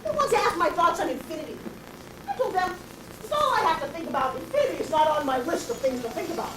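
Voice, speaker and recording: A raspy, brash bag lady who buttonholes passersby and rambles on philosophically, as an actress puts her on. Her voice sits very high and leaps wildly up and down. It is heard from a distance in an echoey room, with a steady hiss of noise behind it. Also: quick pace. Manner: confrontational, addresses passersby directly. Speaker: actress performing a stage monologue. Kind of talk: theatrical comic monologue.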